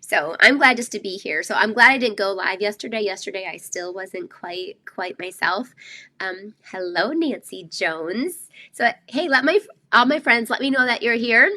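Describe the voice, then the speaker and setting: An older woman talks her viewers through a craft class from her home craft room, rambling with plenty of ums and asides. Her voice is bright and forward, with a slight nasal stuffiness left over from a cold. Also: mid-range voice, expressive, normal speed. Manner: chatty, rambling with many fillers. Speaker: craft show host. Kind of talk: live-streamed craft class chat.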